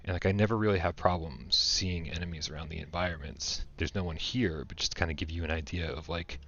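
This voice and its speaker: A man's voice: low-pitched, fairly even intonation, fast, fairly bright tone, dull audio. A man casually commenting on a VR shooter during a livestream, chatty and upbeat, laughing often and full of fillers. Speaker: VR game streamer.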